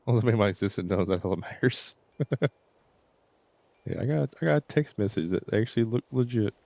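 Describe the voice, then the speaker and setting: A man livestreaming a game and chatting casually with viewers in a halting, informal, repetitive way. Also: on the low side, lively intonation, creaky, darkish tone, no echo. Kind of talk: casual chat with stream viewers.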